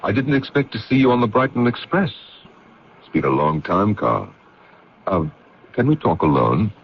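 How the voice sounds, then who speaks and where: Deep, gravelly, indignant magazine editor. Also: male voice, very animated, moderate pace, slight background noise. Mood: probing. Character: wary, indignant male magazine editor suspected of murder. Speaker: actor in a radio mystery drama. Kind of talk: old-time radio drama acting. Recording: dull lo-fi radio recording.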